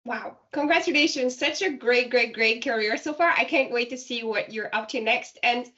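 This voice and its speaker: Enthusiastic higher-voiced woman interviewer. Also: normal speed, sharp tone, muffled recording. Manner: enthusiastic, effusive. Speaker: interviewer host. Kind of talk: casual interview questions.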